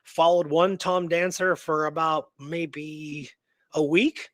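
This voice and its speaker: A man co-hosting a history show, talking off the cuff in a halting way with emphatic repetition. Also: a little high, steady tone, a bit bright, no room sound, studio quality. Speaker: co-host of a history radio show. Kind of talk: unscripted history discussion.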